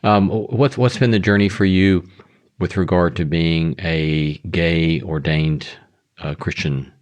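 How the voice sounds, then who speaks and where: Host: man with an extremely deep voice, affirming, asks questions with some hesitations. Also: fairly even intonation, slow. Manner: warm affirming listener, hesitant fillers. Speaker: podcast host. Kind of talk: interview conversation.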